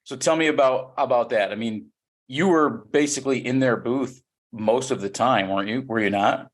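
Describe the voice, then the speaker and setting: A man's voice: mid-range voice, normal speed. A man co-hosting a podcast, asking friendly questions with frequent stumbles and restarts. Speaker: podcast co-host. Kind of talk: casual podcast chat.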